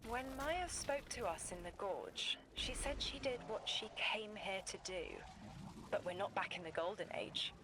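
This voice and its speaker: An actress playing a solemn, haunted messenger in a video game drama, speaking in measured, cryptic sentences. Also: female voice, mid-range voice, expressive, noisy background, close to an everyday voice. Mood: thoughtful.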